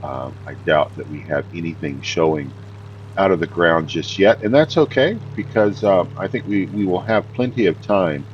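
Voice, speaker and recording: A man hosting a farming game, talking casually in a deep morning voice, easygoing and rambling with many fillers. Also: normal speed, dull audio, slight background noise. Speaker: game host. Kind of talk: casual gameplay commentary.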